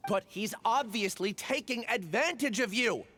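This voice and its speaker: A man's voice: high voice, normal speed, pushed and bright, faint music behind. A man playing an indignant young student in a video game, protesting with passion. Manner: impassioned, protesting. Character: indignant young male student standing up for a friend.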